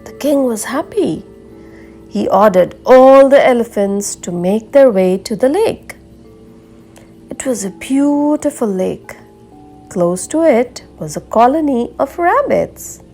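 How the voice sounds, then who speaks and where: A woman reading a children's story aloud like a teacher, expressively and with careful, deliberate enunciation. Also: a little high, a little mellow.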